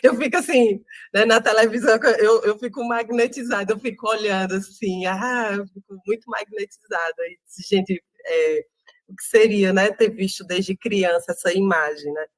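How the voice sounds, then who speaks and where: A woman with a Northeastern Brazilian accent, an interview guest speaking thoughtfully with many pauses and tag questions. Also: mid-range voice, dry recording, poor audio. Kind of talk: reflective interview talk.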